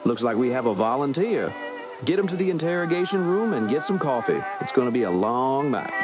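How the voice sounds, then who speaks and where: Actor as grim police officer over loud music. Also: male voice, mid-range voice, lightly acted. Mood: stern, commanding. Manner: dramatic, authoritative. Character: grim, commanding male police officer recounting cosmic horror. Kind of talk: radio horror drama narration and dialogue. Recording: loud dramatic music and effects behind.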